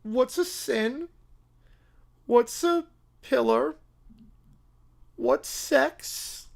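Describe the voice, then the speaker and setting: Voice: high-pitched, drawn-out words, vocal fry, full character voice. A performer voicing a wary, skeptical cartoon child who grumbles reluctant, puzzled objections.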